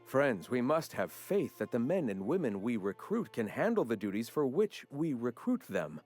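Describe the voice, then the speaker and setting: An actor playing a solemn, preaching male faction leader with a measured, sermon-like delivery. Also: medium pitch, sing-song, a little mellow, soft background music, lightly acted. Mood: preachy.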